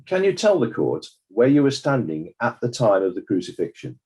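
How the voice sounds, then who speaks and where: A man playing a formal, probing examiner putting deliberate questions in a courtroom drama. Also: mid-range voice, wildly swooping pitch, a little mellow. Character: formal, probing male examiner at a legal inquest.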